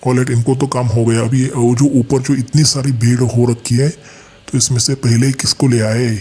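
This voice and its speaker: An Indian man with a slightly dark, husky voice at an average male pitch. He gives casual gameplay commentary as a channel host, explaining as he goes and talking to viewers like friends. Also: little pitch movement, moderate pace.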